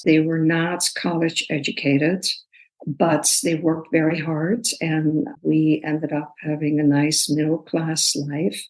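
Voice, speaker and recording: A woman in her sixties from the Netherlands, a guest talking thoughtfully and warmly in a podcast interview, with easy laughs. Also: sits low, poor audio. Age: 60s. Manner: thoughtful, measured, laughs easily. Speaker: guest, personal trainer. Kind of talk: casual interview talk.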